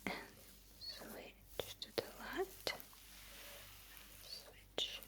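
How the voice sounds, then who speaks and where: A woman whispering in an ASMR roleplay as a technician, giving gentle, slow instructions in short phrases with a breathy, mostly unvoiced voice. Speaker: ASMR performer role-playing a sci-fi technician running calibration tests.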